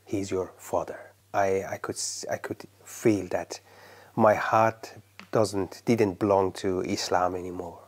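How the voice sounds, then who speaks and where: A man from Iran speaks slowly and carefully in a lowish voice. He pauses often and lets his phrases trail off to a near whisper. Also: steady tone. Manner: slow, halting, drops to a near whisper at phrase ends. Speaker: pastor. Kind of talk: testimony interview.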